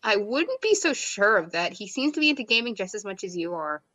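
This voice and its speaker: A woman's voice: medium pitch, big pitch swings, a little fast, bright, forceful tone. A woman reading a visual novel's inner monologue aloud in a wry, chatty way. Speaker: game narrator and player.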